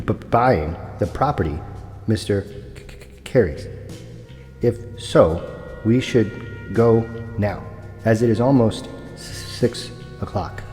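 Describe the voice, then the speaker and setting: Guy doing calm stammering broker, lowish voice, very formal, big echoey reverb, audio drama. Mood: polite insistence. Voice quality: stutter. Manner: calm, polite, formal, informing without pleading. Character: unflappable, stuttering male property broker, punctual and insistent. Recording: long reverb, music underneath.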